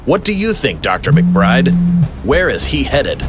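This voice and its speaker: A man's voice: mid-range voice, wildly swooping pitch, measured pace. A man playing a dramatic TV crime show presenter, delivering broadcast lines in an emphatic announcer manner. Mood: showy. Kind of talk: broadcast presenting and news report. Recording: music behind the voice.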